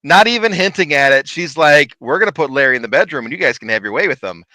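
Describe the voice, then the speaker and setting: A man telling a lively, laughing story from his paranormal investigations in casual chat. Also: a little high, fast, dry recording. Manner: animated, laughing, big voice.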